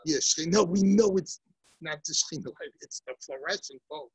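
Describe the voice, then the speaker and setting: An older man leads a Talmud class with a husky, slightly breathy voice, on a dry, close laptop mic. He reads a passage, then retells it as a lively story with asides to his students. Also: fairly high pitch, brisk, no room sound, low-quality recording. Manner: lively storytelling with big hand gestures and asides. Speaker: Talmud teacher. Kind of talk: daily Talmud class, reading and explaining. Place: indoor study room lined with bookshelves. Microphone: laptop webcam, seated close to the screen.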